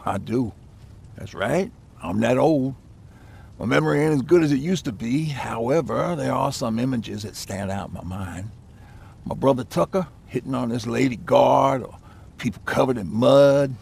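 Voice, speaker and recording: A man voicing an aging, folksy, lighthearted but haunted survivor in a game, with a raspy voice. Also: medium pitch, moderate pace, a little mellow. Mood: wistful. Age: older. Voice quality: gravelly, raspy. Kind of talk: game voice acting, reminiscing dialogue.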